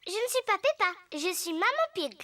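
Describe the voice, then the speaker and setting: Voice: very high voice, sing-song, a little fast, slight background noise, exaggerated voice. A performer voicing a cheerful, bossy little girl pig in a French-dubbed preschool cartoon, eager and self-assured. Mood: playfully bossy. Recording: loud music behind the voice.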